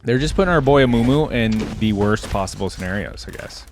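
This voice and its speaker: A man's voice: mid-range voice, animated, fairly quick, background noise. A man giving casual, off-the-cuff reaction commentary, like a video creator talking through what he sees.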